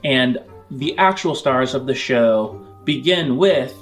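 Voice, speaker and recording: A man's voice: mid-range voice, lively intonation, takes it slowly, fairly bright tone, faint music behind. A man hosting a tabletop role-playing show as its game master.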